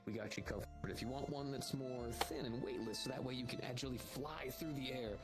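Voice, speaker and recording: A man with a medium-pitched voice talks at length as a roleplaying guild merchant. He explains options in a wordy, salesman-like way, improvising as he goes, with clear music playing behind him. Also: fairly quick. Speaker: roleplayer running a guild shop in a social VR roleplay.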